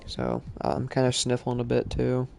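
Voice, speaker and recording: A man's voice: mid-range voice, sing-song, normal speed, gravelly, music in the background. A male streamer chatting casually while playing, sounding congested from allergies and rambling with lots of fillers. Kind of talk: live-stream gaming chat.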